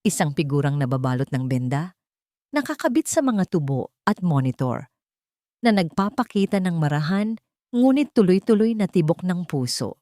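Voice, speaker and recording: A woman narrating a scripted dramatic story in a measured, solemn way with pauses for effect. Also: on the low side, very animated, mellow, no room sound, clean studio sound.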